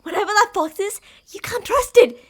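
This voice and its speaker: A woman voicing a frightened young woman who has briefly taken back control of her body, angry and urgent, shouting in bursts. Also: very high-pitched, normal speed, voice pushed up. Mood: furious. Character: furious, frightened young woman breaking through.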